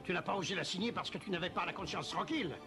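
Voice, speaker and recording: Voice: medium pitch, very fast, over loud music, lightly acted. An actor voicing a pompous, blustering king who sits as judge, hemming between pronouncements in a cartoon. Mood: stern. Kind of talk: French-dubbed cartoon acting.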